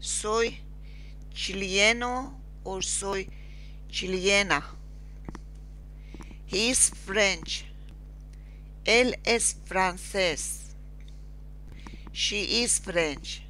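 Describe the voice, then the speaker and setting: A woman teacher with a Persian accent speaks at a very slow, careful pace, and her voice is bright and forward. She drills phrases one word at a time, with long pauses in between. A faint hum sits under the recording from her desktop mic. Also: medium pitch, rough recording. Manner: slow, deliberate, spells out each word with pauses. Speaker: Spanish teacher. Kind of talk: slow screen-recorded language lesson with repeat-after-me drills.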